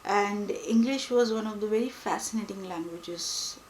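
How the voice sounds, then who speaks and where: A young woman whose first language is Hindi, talking reflectively and pausing often with fillers. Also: medium pitch, darkish tone, a little hiss or hum. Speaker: book vlogger. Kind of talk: reflective personal talk to camera. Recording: echoey room with faint ambience.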